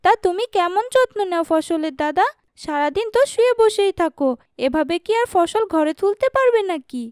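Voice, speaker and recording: A woman's voice: extremely high pitch, expressive, moderate pace, a little mellow, dry recording, studio quality. A woman voicing an outspoken, cheeky young village girl, a little sister in a cartoon. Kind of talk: cartoon dubbing.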